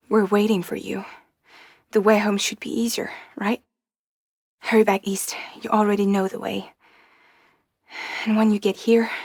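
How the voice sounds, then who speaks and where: A woman's voice: mid-range voice, breathy edge, no echo. Tired wry game courier girl, guarded but cares, understated and intimate, super dry close sound. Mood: weary, serious. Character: weary, wry young woman courier, guarded but caring. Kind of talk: video game dialogue.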